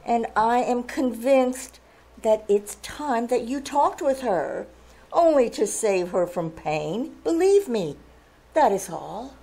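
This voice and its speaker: Woman acting a high, gracious young princess. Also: lively intonation, mellow, full character voice. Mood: earnest, concerned. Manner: refined, theatrical, with drawn-out exclamations. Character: gracious, kindly young princess, gushing and compassionate. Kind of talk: old-time radio drama acting, live stage performance.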